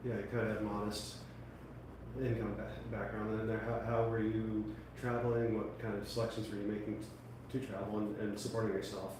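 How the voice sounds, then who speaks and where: A man with a fairly low voice hosts an interview, asking rambling, hesitant questions. He is far from the mic in a very echoey room, with clear background noise. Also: little pitch movement, brisk, a little mellow. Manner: rambling, hesitant, many fillers. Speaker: podcast host. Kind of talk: casual interview.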